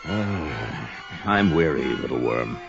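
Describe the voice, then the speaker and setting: An actor playing a fearless, gruff barbarian warrior with a commanding, taunting and grandly archaic way of talking, in a fantasy audio drama. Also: male voice, very deep voice, lively intonation, takes it slowly, exaggerated voice. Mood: weary, stubborn. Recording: dull lo-fi audio drama, music behind.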